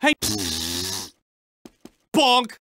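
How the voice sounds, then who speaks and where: A man's voice: drawn-out words, bright, forceful tone, slight background noise. A man voicing a cocky, taunting young scout for a video game. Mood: brash, taunting. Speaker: game character.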